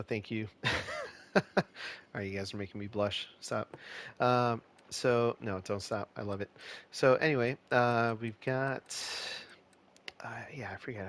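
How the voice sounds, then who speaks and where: A man streaming a city-building game, chatting casually with lots of fillers and restarts, his voice a bit nasal and stuffed-up. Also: fairly low pitch. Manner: relaxed, rambling, many fillers and restarts. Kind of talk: casual live-stream commentary.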